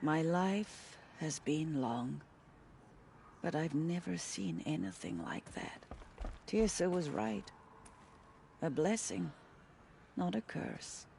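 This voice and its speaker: A man voicing an old tribesman in a video game, speaking slowly and with wonder. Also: fairly high pitch, very animated, vocal fry, a little mellow, a little hiss or hum, full character voice. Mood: awed. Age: older. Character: old tribesman, awed and humbled.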